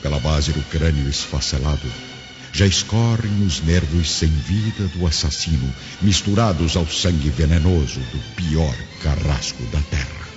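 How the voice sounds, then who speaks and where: A man's voice: a bass voice, unhurried, lo-fi sound. A man narrating a scripted radio drama in a solemn, theatrical, emphatic manner. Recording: band-limited sound, loud music underneath.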